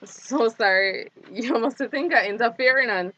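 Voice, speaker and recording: A woman's voice: fairly high pitch, measured pace, fairly bright tone, lo-fi sound. An actress playing a polite, inquisitive woman visitor in a screen drama. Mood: sympathetic.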